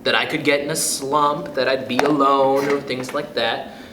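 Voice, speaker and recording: A man reading a children's picture book aloud in a playful, expressive way, chuckling between passages, then speaking to young listeners himself. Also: mid-range voice, moderate pace, background noise. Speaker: youth club storyteller. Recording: echoey room.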